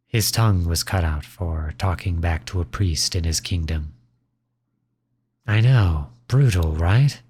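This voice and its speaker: A man playing a kindly, teasing outlaw in an intimate ASMR roleplay, gentle and reassuring. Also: sits low, husky, heavily acted. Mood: matter-of-fact. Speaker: audio roleplay voice actor.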